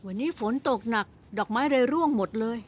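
A woman's voice: a little high, expressive, a little fast, a little mellow, a little hiss or hum, close to an everyday voice. A woman voicing a whiny, sulky young schoolboy in a Thai cartoon dub. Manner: whiny, complaining.

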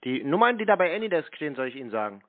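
A man's voice: fairly high pitch, sing-song, very fast, dry recording. A man chatting casually on the phone, playing naive and agreeable. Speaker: host posing as a scam victim on a prank-call show. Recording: tinny, band-limited phone line.

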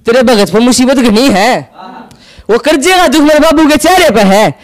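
A young man with a Haryanvi accent doing stand-up storytelling on an indoor stage into a handheld mic, acting out quick back-and-forth dialogue. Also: extremely high pitch, expressive. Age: young adult. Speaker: stand-up comedian. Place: indoor stage with curtain backdrop.

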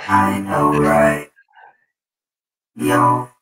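A man's voice: medium pitch, completely flat delivery, extremely slow, over music. A young man bantering crudely in slang with swearing, sometimes through a voice changer, recorded in a bedroom on a headset. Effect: voice changer at times. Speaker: streamer pranking strangers on video chat with a voice changer. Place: bedroom at a computer. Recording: very echoey, slightly distant computer audio.

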